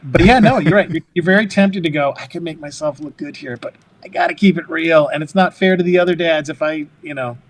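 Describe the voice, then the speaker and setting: Animated fifty-something man telling stories. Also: a little high, brisk. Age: 50s. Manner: animated storyteller, laughs often, acts out quotes. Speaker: podcast guest. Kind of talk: casual chat.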